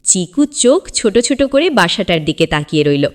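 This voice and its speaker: A woman's voice: medium pitch, very animated, moderate pace. A woman narrating a scripted children's story in a dramatic, suspenseful storyteller's manner.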